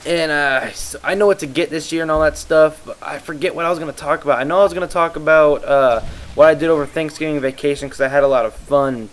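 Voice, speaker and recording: A man's voice: a little high, fast. A tired young man from the Midwest rambling casually as he commentates over gameplay, often losing his train of thought. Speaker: YouTube gaming commentator.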